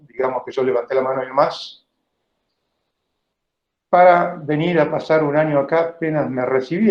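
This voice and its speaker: Argentine man lecturing, roomy dull call audio. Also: mid-range voice. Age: 50s. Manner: conversational, often checking in with "¿no?". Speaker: biologist giving a talk. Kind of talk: informal illustrated lecture with personal recollections. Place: indoor room, online video call. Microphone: video call microphone.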